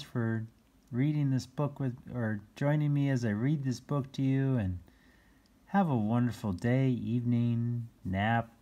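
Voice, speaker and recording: A children's read-aloud host with a husky voice, reading a picture book and adding playful exclamations and explanations for young listeners. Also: medium pitch, mellow. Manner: exclaims, gasps, chuckles and explains words to children.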